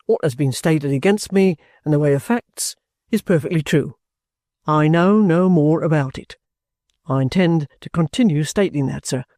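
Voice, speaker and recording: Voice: medium pitch, moderate pace, warm tone, no echo, studio quality, pitched below the performer's own voice, close to an everyday voice. A reader voicing a bluff, stubborn ex-soldier in an audiobook, courteous, plain-spoken and offhand. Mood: good-humoured. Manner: respectful, offhand, self-possessed.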